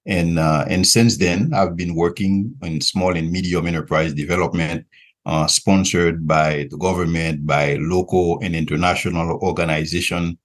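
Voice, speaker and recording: Very low, husky man with a Haitian accent. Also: fairly even intonation, normal speed. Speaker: podcast guest, regional director and visiting professor. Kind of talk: conversational interview answers.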